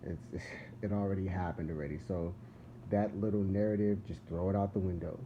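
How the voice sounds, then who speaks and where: A man from whose was Arabic, preaching in a casual, rambling monologue that repeats words and restarts sentences. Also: low voice. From Philadelphia. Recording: dull lo-fi sound with clear background noise.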